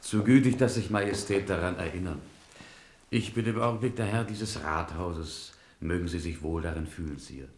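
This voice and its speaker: Low-voiced urbane philosopher nobleman. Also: moderate pace, a little hiss or hum. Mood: courteous, unruffled. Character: urbane philosopher nobleman and mayor, calmly courteous. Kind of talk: German radio play acting. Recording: roomy studio echo.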